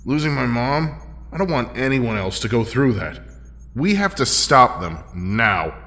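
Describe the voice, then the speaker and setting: An actor playing a bitter, vengeful superhero teammate in an audio drama. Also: male voice, mid-range voice, very animated, measured pace, pushed and bright, exaggerated voice. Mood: grieving, resolute. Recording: reverberant, music behind.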